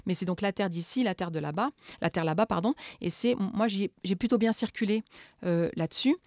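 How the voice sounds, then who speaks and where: A middle-aged woman from Morocco answering interview questions thoughtfully, often pausing and repeating words as she searches for them. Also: medium pitch, darkish tone, no room sound, clean studio sound. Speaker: author and political scientist.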